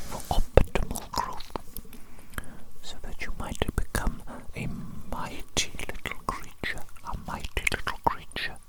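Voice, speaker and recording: A man's voice: natural voice, low-quality recording. A man playing an eccentric evil doctor in a whispered ASMR roleplay, fussily repeating words to a tiny creature in his care. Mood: pleased, obsessive. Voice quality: breathy, fully unvoiced whisper. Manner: fussy, repeats words and phrases obsessively.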